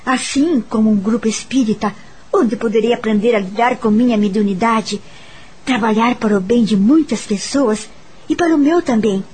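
An actress with a Brazilian accent playing a gentle, grateful young woman in a radio soap opera, earnest and sentimental. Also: fairly high pitch, normal speed, slightly rough, rough recording. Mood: grateful, wistful. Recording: background music under the voice.

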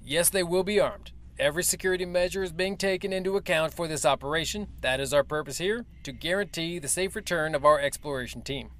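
A man's voice: on the high side, quick pace, hardly any pauses, fairly bright tone, close to an everyday voice. A man playing a formal army major. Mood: firm. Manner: formal, clipped and assured. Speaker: military officer.

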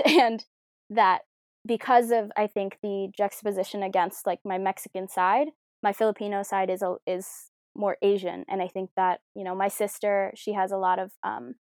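A woman's voice: mid-range voice, a little mellow, dry recording, studio quality. A young woman answering interview questions in a casual, reflective way, with many fillers and hedges. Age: young adult.

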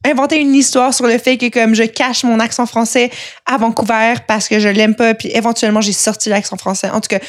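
A woman's voice: on the high side, little pitch movement, fairly quick. A young woman, telling her own story frankly as a podcast guest, laughing easily. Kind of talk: casual conversational storytelling.

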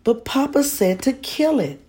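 A woman's voice: high-pitched, very animated, measured pace, mellow, voice pushed up. A woman voicing a frightened young boy who pleads tearfully with his mother in a read-aloud memoir. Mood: pleading.

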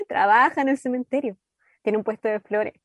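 A woman's voice: fairly high pitch, measured pace, no room sound. A woman acting an inquisitive, guarded Chilean character in a naturalistic radio drama, with a conversational, questioning delivery. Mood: playful, guarded. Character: inquisitive, guarded woman named Amanda with a secretive past.

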